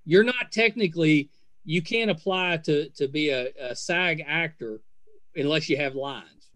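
A man's voice: a little high, unhurried, a bit bright. A man hosting a casual interview podcast, talking in an easygoing, somewhat hesitant, conversational way.

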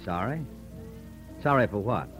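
An actor playing a gentle, worried crusading husband in an old radio drama. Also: male voice, lowish voice, lively intonation, unhurried, soft background music. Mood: wary.

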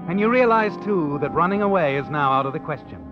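An actor playing a knowing, taunting narrator in old radio suspense style, insinuating and ominous. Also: male voice, a little high, expressive, fast, lightly acted. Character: knowing, taunting male narrator haunting the hero. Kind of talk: 1940s radio suspense narration in second person. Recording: dull vintage radio recording. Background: dramatic music.

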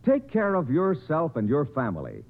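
Swooping, husky American radio announcer. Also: male voice, fairly high pitch, wildly swooping pitch, moderate pace, phone-quality sound. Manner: emphatic, formal sales delivery. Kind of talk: 1950 radio advertising announcement. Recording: thin, rough vintage radio recording.

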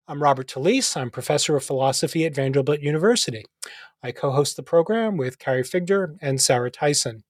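A man's voice: a little high, no echo, studio quality. Articulate man hosting a philosophy podcast. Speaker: podcast host, philosophy professor. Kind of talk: scripted introduction then interview.

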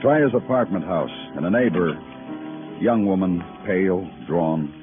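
A man's voice: deep voice, lively intonation, unhurried. A man playing a brooding, terse police detective and narrator in a 1950s radio crime drama. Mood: somber narration. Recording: muffled vintage radio broadcast with music.

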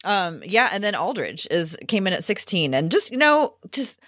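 A woman's voice: mid-range voice, very animated, normal speed, fairly bright tone, dry recording, studio quality. A woman hosting a sports podcast, chatting casually about basketball with wry asides.

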